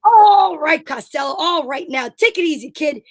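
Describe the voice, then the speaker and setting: Woman playing a bossy, high-voiced radio straight man. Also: lively intonation, moderate pace. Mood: coaxing. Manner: commanding, cajoling patter. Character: bossy, wheedling straight man Abbott, adult male comic. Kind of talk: old-time radio comedy sketch.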